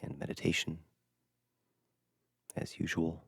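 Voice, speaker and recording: A man leading a meditation with an unscripted dharma talk, speaking gently and haltingly, with hushed phrases and long pauses. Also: very deep voice, flat delivery, creaky, breathy edge, no room sound, clean studio sound. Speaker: meditation guide.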